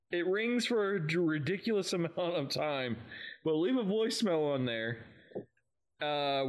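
A man co-hosting a fan podcast, chatting in a slangy, informal way. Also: a little high. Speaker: podcast co-host.

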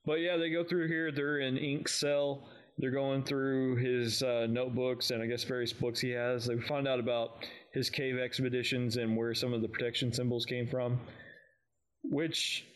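A man's voice: mid-range voice, flat delivery, moderate pace. Casual male podcast co-host from Texas. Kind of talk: casual fan podcast discussion of an audio drama.

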